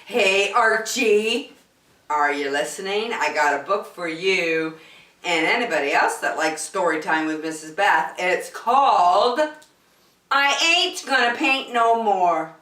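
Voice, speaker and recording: A woman hosting a children's story time, reading a picture book in an animated, sing-song way. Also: on the low side. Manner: slow, animated delivery addressed to young children. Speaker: children's storytime host and reader. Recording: roomy echo, distant microphone.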